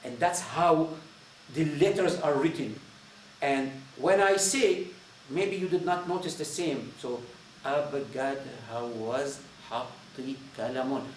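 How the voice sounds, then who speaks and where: A man with a Syrian accent, a native Arabic speaker, gives an informal lecture in a halting, repetitive way, searching for words. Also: mid-range voice. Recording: roomy echo, distant mic, faint noise.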